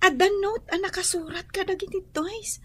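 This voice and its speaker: A woman acting an excitable, sweet little girl in an Ilocano radio drama, with a childlike, whiny voice. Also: very high voice, normal speed. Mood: amazed, delighted. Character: excitable, sweet, inquisitive little girl missing her father.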